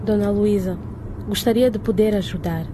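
A woman's voice: mid-range voice, steady tone, moderate pace, natural voice. A woman playing a busy, firm but sympathetic headmistress in a radio drama, speaking politely and formally. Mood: regretful, firm. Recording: clear ambient vehicle noise behind.

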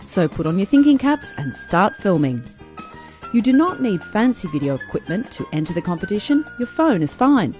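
Woman, radio host, warm soft-edged tone, animated scripted announcement. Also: medium pitch.

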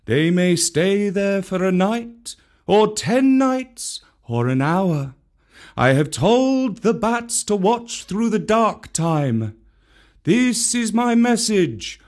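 A man voicing a messenger kite bird, male, high and ringing, slow formal delivery, storybook reading. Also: big pitch swings, a little mellow, exaggerated voice. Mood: solemn.

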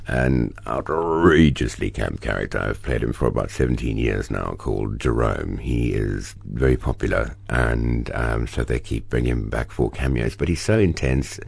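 A man's voice: rumbling low, normal speed, creaky, hardly any pauses, husky, dry recording. A middle-aged South African man, an actor, telling stories expressively in an interview. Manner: expressive, storytelling, animated bursts. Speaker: actor, guest. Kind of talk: interview conversation.

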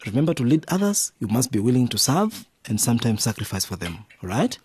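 A man's voice: mid-range voice, big pitch swings, quick pace, slightly rough. An actor playing a stern but loving middle-aged father, a police officer, in a radio drama. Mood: relenting, firm. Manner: admonishing, emphatic, paternal.

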